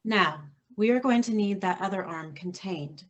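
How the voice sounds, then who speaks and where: An actress playing a stern middle-aged mother who leads vigilante women in a staged reading, firm and measured. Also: medium pitch, highs cut off, lightly acted.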